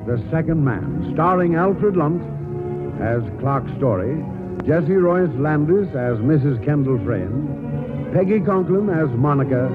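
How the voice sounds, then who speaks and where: A man's voice: on the high side, expressive, takes it slowly. A man announcing a 1940s radio show in a formal, ceremonious manner. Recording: tinny old radio broadcast over loud music.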